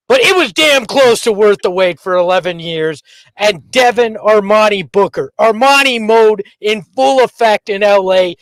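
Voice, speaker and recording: A man's voice: sits high, fairly bright tone, no room sound, low-quality recording. A man co-hosting a sports podcast, giving emphatic fan commentary and wisecracking banter. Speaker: podcast co-host.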